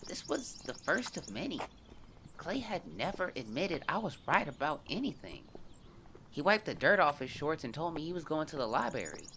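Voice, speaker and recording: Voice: mid-range voice, sing-song, normal speed, a bit bright, slight background noise. An actor voicing a young boy who earnestly narrates events into his journal in a scripted audio drama. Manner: earnest, confiding, storytelling.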